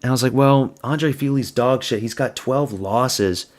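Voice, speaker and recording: A man's voice: medium pitch, a little slow. A man giving a blunt, crude, slang-heavy solo review of fight results. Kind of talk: casual solo commentary.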